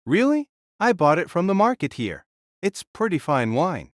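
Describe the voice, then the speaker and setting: A man acts out a big, jovial conqueror king in an audio drama. He talks with animated swings in a slightly high male voice, easygoing and boastful. The sound is dry. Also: moderate pace, no room sound. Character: jovial giant warrior king, hearty and unbothered. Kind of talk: audio drama character reading.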